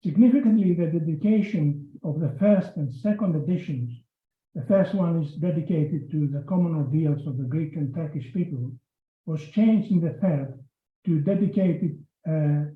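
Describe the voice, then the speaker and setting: A man delivering an academic talk in a fairly high voice, measured and stopping now and then to correct himself. The sound is thin and phone-like, with echo from a room. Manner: measured, with frequent hesitations and restarts. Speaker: academic panel speaker. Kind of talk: reading a prepared lecture. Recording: echoey room over a phone-like line.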